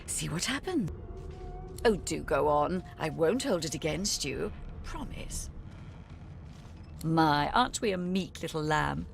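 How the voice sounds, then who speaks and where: Condescending female game jailer, sweetly mocking, animated, lowish creaky voice. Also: slow pace, pauses often, full character voice. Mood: mocking, patronising. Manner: patronising, teasing, mock-sweet. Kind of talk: video game voice acting.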